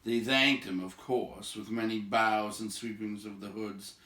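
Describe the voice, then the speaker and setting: A man hosts a storybook read-aloud, moving between narration and informal commentary. His voice sits a little low but has a bright, forward edge. The room adds a clear echo around his words. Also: fairly even intonation, unhurried. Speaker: read-aloud host and reader. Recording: roomy, echoing home recording.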